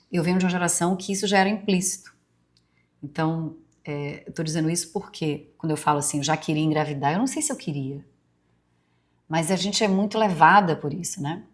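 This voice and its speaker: A woman in her forties speaks in a low, unhurried register, her Bahian Brazilian accent softened by years in Rio. She hosts her podcast as a reflective monologue, wandering through memories with many asides and fillers, as if confiding in close listeners. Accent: Brazilian Portuguese, Bahian softened by years in Rio. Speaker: podcast host.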